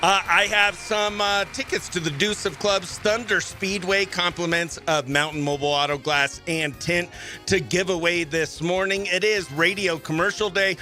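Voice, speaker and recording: A man hosting a morning radio show, chatting excitably and playfully through banter and giveaway patter, with music faintly behind him. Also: high voice, moderate pace.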